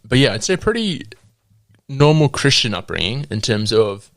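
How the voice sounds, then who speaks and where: A young man in his twenties from New Zealand with a New Zealand accent, a podcast guest sharing his faith testimony with many pauses, ums and laughs. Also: medium pitch, very animated, fairly bright tone, dry recording, studio quality. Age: 20s. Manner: hesitant, with frequent ums and laughs.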